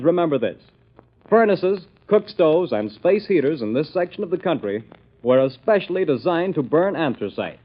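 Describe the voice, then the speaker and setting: A male announcer gives a polished, emphatic sales pitch in old-time radio style. His fairly high voice swings animatedly up and down. The recording is dull and dated. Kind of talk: vintage radio advertising read.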